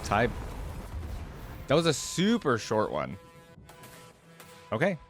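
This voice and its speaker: A man's voice: medium pitch, huge pitch swings, slow, soft background music. A man hosting a reaction video, giving informal, chatty commentary and thinking aloud as he goes. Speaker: YouTube reaction host.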